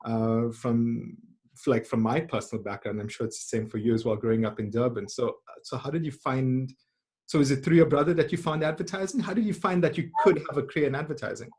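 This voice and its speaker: A man's voice: mid-range voice, brisk, a little mellow, breathy edge. A man hosting a webinar interview, asking friendly questions and often repeating his words. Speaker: webinar host. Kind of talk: casual interview. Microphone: video call.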